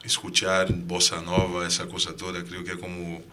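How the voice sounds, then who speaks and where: A Brazilian musician with a Brazilian Portuguese accent speaking Spanish in a radio studio interview, talking softly and trailing off between restarts. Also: male voice, deep voice, monotone, moderate pace, a little hiss or hum. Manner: soft-spoken, trailing off, hesitant restarts. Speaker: musician guest.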